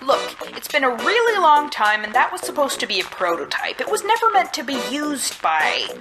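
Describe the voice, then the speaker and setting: A woman's voice: high-pitched, big pitch swings, normal speed, noisy background. A woman playing a hapless, anxious detective with a flustered, complaining manner in a musical adventure game. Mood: panicked. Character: hapless, anxious, grumbling detective of ambiguous gender. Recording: music behind the voice. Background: loud music.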